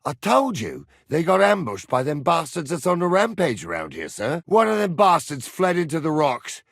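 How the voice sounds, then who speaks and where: A gruff old soldier from a medieval video game, with a very lively, swooping pitch. He talks in a rustic, salty way and orders youngsters about with crotchety impatience. The recording is dry, with no room sound. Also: normal speed, no room sound, heavily acted. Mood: irritated, bossy. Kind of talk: video game character dialogue.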